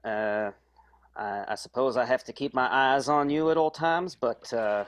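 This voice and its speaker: A man's voice: medium pitch, close to an everyday voice. A man playing a dutiful, hesitant bodyguard in an improvised radio soap. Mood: earnest, hesitant. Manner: halting.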